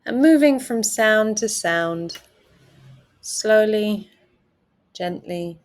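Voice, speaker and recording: Slow, gentle woman guiding meditation. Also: mid-range voice. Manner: calm, gentle, unhurried guidance with soft pauses. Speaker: meditation guide. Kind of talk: guided meditation instruction.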